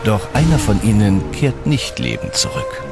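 Low-pitched male narrator, lively intonation. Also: measured pace, vocal fry. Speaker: documentary narrator. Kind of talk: scripted documentary narration. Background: background music.

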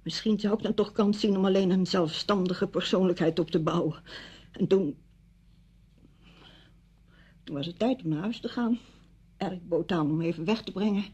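Bitter hurt woman, radio drama, lowish pitch, husky, reproachful, intense. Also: normal speed, long pauses, a little mellow. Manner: reproachful, emotionally intense monologue. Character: bitter, wounded single woman confronting her friend.

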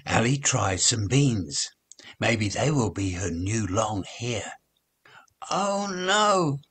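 An older man reading a children's story aloud, with expressive, deliberate phrasing. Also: lowish voice, breathy edge. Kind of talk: children's read-along story narration.

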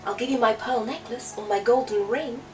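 A woman's voice: a little high, fairly quick, lightly acted. A woman voicing a young, squeamish and reluctant fairy-tale princess who exclaims her lines. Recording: distant mic, music behind the voice.

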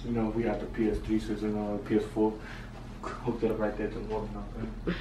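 A young man giving a joking, relaxed tour of a small apartment for a vlog. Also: on the low side, fast talker. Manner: joking, relaxed, plays host. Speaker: vlogger. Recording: noisy handheld home video.

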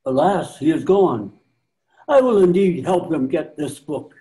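A man's voice: a little high, lively intonation, measured pace, mellow, poor audio. An older man playing a crafty, covetous old friar in amateur readers theater, with declamatory archaic speech. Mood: resolute. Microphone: computer mic on a video call.

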